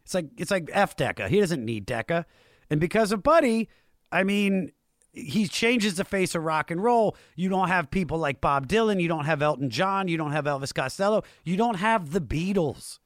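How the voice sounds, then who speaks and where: A man's voice: high-pitched, expressive, normal speed, no echo, clean studio sound. An American man hosting an enthusiastic, conversational music show, slangy and emphatic, repeating phrases for stress.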